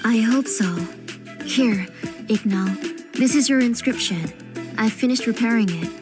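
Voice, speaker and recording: A woman voicing a caring, careful mother in a video game, with a gentle, polite manner. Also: on the high side, measured pace, music in the background, lightly acted. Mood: pleasant. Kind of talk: video game character acting.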